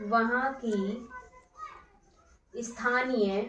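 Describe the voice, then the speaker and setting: A woman's voice: on the high side, fairly even intonation, very slow, a bit bright, soft background music. A woman teacher dictating exam revision notes, repeating each phrase word by word and asking herself questions, then answering them. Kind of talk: slow dictation-style lesson. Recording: echoey room, voice from far off.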